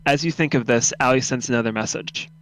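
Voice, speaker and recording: A man narrates an audio drama in the second person, reading from a script. His pitch sits in an ordinary middle range. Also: fast, fairly bright tone, a little hiss or hum, poor audio. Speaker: narrator of a radio drama read-through.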